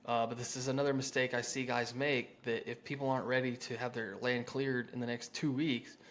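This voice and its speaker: A man's voice: medium pitch, flat delivery, brisk, a bit bright. Informal American man coaching on sales. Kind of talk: instructional talk with acted sample phone-script lines.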